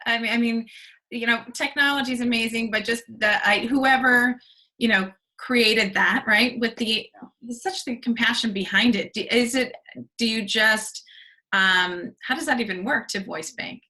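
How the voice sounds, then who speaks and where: A woman hosts an interview in a very bright voice at a middle pitch. She is effusive and circles into her questions, restarting phrases as she goes. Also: moderate pace. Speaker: interviewer, host. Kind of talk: conversational interview.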